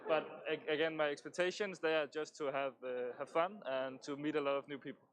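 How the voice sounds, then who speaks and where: A man's voice: fairly high pitch, steady tone, a little fast, rough recording. A man from Denmark, chatting enthusiastically as a conference delegate in an interview. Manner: friendly, enthusiastic. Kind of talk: casual interview answers.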